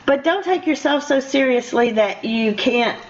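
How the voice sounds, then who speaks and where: An older woman hosting a casual radio interview in a chatty, conversational way, quick to laugh. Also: high-pitched, expressive. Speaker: radio show host. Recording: dull, lo-fi radio interview audio.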